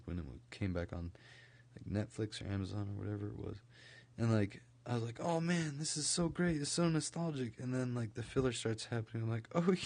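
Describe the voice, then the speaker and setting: Rambling young man, slightly scratchy voice. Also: lowish voice. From Idaho, USA. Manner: rambling, many "like" fillers and restarts; speaking quieter than usual. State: slightly scratchy from recent illness. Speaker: streamer. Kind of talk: casual late-night livestream chat.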